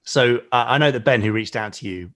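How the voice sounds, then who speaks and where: A man's voice: medium pitch, a little fast, a bit bright. A man hosting a podcast interview, playful and joking at his own expense. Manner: playful, jokey, self-deprecating. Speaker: podcast host. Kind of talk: casual interview.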